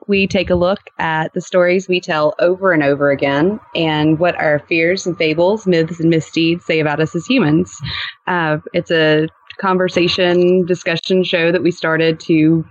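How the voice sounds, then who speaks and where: A man who podcasts, explaining his show as an interview guest in a measured, articulate way. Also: high voice, steady tone, dry recording. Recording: dull, lo-fi remote sound.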